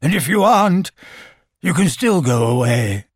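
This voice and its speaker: Grumpy storybook owl, played by a male reader: pompous, blustering, indignant, with animated swings of pitch. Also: slow pace, gravelly, slightly rough, no room sound, clean studio sound, full character voice. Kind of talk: storybook character voice.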